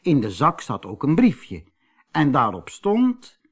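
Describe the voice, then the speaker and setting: Slow, very animated male storyteller. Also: medium pitch, husky. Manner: expressive storytelling. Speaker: audiobook narrator. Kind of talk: scripted fairy-tale narration for children.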